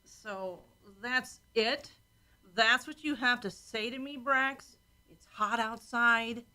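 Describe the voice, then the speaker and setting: Woman as feisty starship officer, high pitch, forceful bright tone, argumentative, competitive, sci-fi radio drama. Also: takes it slowly, full character voice. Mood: defiant. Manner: challenging, insistent. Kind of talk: science fiction radio play acting.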